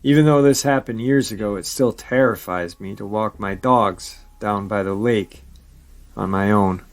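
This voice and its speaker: A man with a somewhat low voice reads viewer-submitted encounter stories for his paranormal channel. He keeps a steady storytelling pace and drops in brief casual asides between the tales. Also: unhurried.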